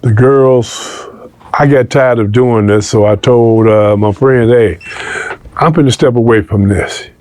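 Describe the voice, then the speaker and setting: A man's voice: medium pitch, measured pace, no echo. Streetwise man telling his story, dry sound. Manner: animated retelling, acting out quoted dialogue; streetwise, slangy speech. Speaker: interviewee. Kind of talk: casual storytelling in an interview.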